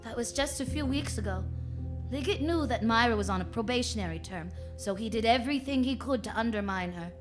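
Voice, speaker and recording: A woman's voice: fairly high pitch, fairly quick, natural voice. A woman playing a wry, flirtatious private detective who narrates her case in a vintage radio drama. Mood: wry narration. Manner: wry, hard-boiled storytelling. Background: music.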